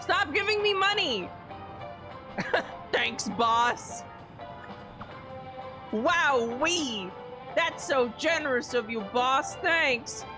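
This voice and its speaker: Playful woman streamer with animated pitch. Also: extremely slow, pauses often. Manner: playful, sweary, reading game text aloud. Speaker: game streamer. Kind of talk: live game commentary, casual chat. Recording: game music behind.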